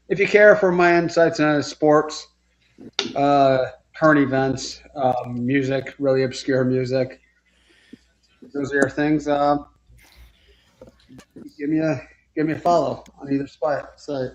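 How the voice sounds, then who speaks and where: A man chatting casually and haltingly as a panelist on a live sports show. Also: medium pitch, fairly even intonation. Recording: muffled lo-fi audio with clear noise.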